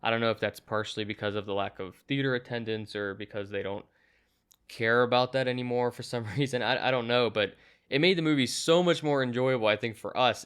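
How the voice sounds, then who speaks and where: A young man with a clean, smooth voice hosts a casual movie discussion. He is chatty and enthusiastic, readily agreeing with his guest in an easygoing conversational style. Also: mid-range voice, a bit bright. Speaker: host of a movie review show.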